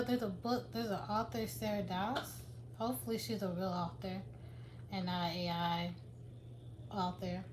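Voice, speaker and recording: A woman's voice: medium pitch, background noise. Rambling woman vlogger, casual chat. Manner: rambling, many restarts and fillers. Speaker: vlogger and writer.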